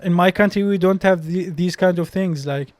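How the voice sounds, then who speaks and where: A man's voice: high voice, moderate pace, darkish tone, no room sound. A young man with a Palestinian accent whose first language is Arabic, a doctor telling stories as a podcast guest, hesitant with many restarts. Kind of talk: casual interview storytelling.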